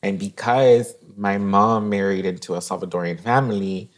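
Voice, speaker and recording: A man's voice: lowish voice, steady tone, normal speed. A man co-hosting a podcast in casual chat, reflective and heartfelt, slipping Spanish phrases into his English. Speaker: podcast co-host.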